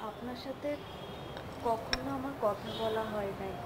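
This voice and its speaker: An actress with a Bangladeshi accent playing a meek, courteous young wife in a TV drama, speaking politely and hesitantly. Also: female voice, on the high side, unhurried, very noisy, natural voice. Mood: polite, coaxing. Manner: polite, hesitant, soft pleading. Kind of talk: natural TV drama acting.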